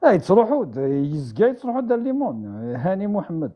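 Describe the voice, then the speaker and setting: A man's voice: high voice, huge pitch swings, fast, muffled recording. A man joining a live stream by call, who agrees, hesitates and mixes in Arabic words. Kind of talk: casual live-stream chat.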